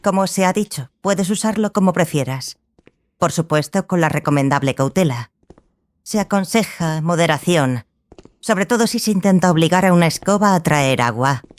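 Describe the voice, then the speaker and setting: A woman's voice: fairly low pitch, lively intonation, natural voice. Woman acting a self-assured, wry sorceress mentor. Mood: courteous, wry. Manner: measured, formal, dryly ironic. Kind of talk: dramatized audiobook acting.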